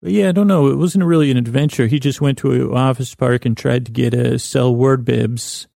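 A man hosting a sleep podcast with a meandering, stammering comic monologue, halting and deadpan, in a gravelly voice. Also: mid-range voice, no echo, studio quality. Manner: meandering, halting, stammering with many false starts. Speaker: podcast host.

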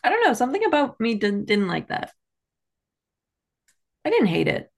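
A woman's voice: a little high, wildly swooping pitch, moderate pace, creaky. A woman co-hosting a book podcast, chatting casually and candidly, peppering her sentences with "like". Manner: conversational, heavy use of "like", candid.

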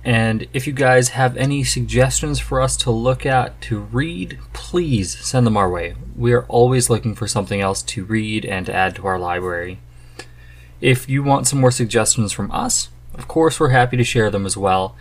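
A man hosting a podcast in a casual, conversational style. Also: medium pitch. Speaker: podcast host.

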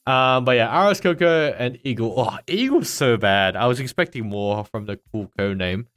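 A man's voice: normal speed, clear, resonant tone, soft background music. A man hosting a casual podcast, teasing his co-host and laughing often. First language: not Chinese. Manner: teasing, laughs often, lively swings in pitch. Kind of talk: casual chat.

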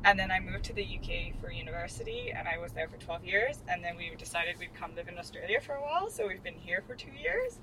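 A woman's voice: on the low side, sing-song, quick pace, hardly any pauses. A woman in her thirties who grew up in Malaysia, chatting casually and laughing often in an outdoor interview, with plenty of fillers. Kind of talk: casual street interview chat. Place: outdoors on a city street. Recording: distant street mic, traffic noise.

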